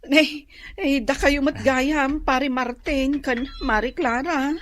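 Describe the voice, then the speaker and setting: An actress with an Ilocano accent playing a blunt, worn wife and carer in a radio drama. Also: female voice, high-pitched, steady tone, takes it slowly, fairly bright tone, slight background noise. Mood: grateful. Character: blunt, worn wife minding her hospitalized husband.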